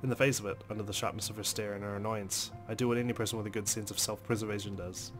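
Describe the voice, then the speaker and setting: A man reading first-person game narration aloud as a let's play host, expressive, with dramatic, deliberate phrasing. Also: fairly low pitch. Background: music.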